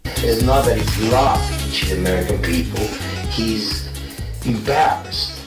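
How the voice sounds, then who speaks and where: Halting South African man, echoey distant room. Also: on the low side, loud music behind the voice. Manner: halting, repeats "okay?" after claims. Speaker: interviewee in archive footage. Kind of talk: interrogation interview.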